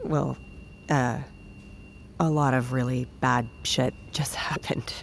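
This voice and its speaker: An actress playing a sardonic, weary tech worker in an audio drama, with a dry, blunt, sarcastic delivery. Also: female voice, a bass voice, steady tone, slow pace, vocal fry, a little hiss or hum, exaggerated voice. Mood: awkward, wry. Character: exasperated, sardonic, foul-mouthed female tech worker. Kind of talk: naturalistic audio drama acting.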